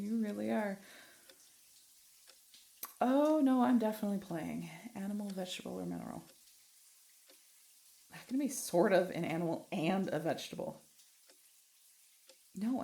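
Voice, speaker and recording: A woman playing a weary, guarded, sardonic vampire in an intimate audio roleplay, with a breathy, husky delivery that often drops toward a whisper. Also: medium pitch, mellow, rough recording. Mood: teasing, exasperated. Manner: dry, sardonic, halting. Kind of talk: intimate scripted audio roleplay addressed to the listener.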